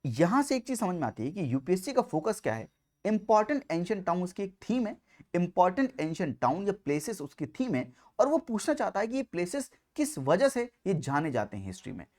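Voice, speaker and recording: Very animated male exam coach. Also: high voice, a little fast. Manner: emphatic, repeats key points and asks rhetorical questions. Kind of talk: explanatory lecture to students.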